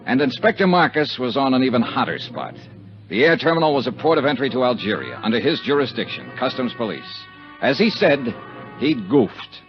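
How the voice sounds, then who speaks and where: On a dull 1950s radio recording, an actor plays a hard-boiled male insurance investigator. His middle-pitched voice moves in lively swings. He is wry and clipped, tossing out lines like a seasoned wisecracker. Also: a little fast, soft background music, close to an everyday voice. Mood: dry narration. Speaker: lead actor and narrator of a radio detective serial. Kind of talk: 1950s radio drama acting.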